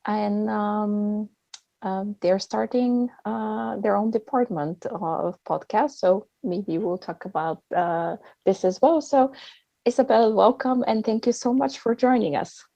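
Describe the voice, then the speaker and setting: A woman hosting an online event, introducing things in a halting, hesitant way with many pauses. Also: medium pitch, vocal fry, warm tone, no room sound. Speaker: host of a festival talk. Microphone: video call. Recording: dull, band-limited online call sound.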